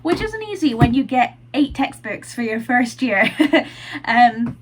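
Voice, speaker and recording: A woman's voice: fairly high pitch, sing-song, a little slow, low-quality recording. A young woman vlogging from her room, chatting casually with plenty of fillers, her nose blocked from a cold. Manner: chatty, lots of "like" and "um". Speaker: vlogger and university student.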